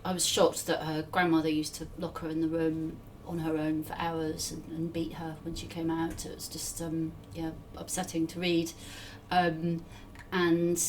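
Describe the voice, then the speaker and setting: A woman interviewer in conversation, phrasing questions and reflections tentatively, recorded at a distance from the mic with some faint noise. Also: deep voice.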